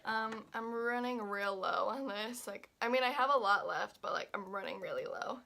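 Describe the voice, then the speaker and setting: A young woman chatting through a makeup tutorial. She sounds stuffy and a little raspy, and she rambles with lots of fillers and restarts. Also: mid-range voice, normal speed, bright, forceful tone. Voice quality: slightly raspy. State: stuffy and congested. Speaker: beauty vlogger.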